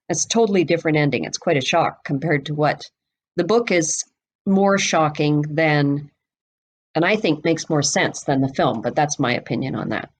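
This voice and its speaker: A woman speaking as a podcast guest, explaining things conversationally in an expansive, knowledgeable way. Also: fairly low pitch. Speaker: guest expert on a book podcast. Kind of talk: conversational explanation of literary history.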